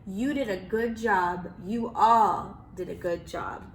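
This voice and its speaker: A woman's voice: mid-range voice, takes it slowly, a little hiss or hum. A woman doing a brusque landlord. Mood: approving. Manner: dismissive, curt. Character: busy grown man, a brusque landlord. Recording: roomy echo.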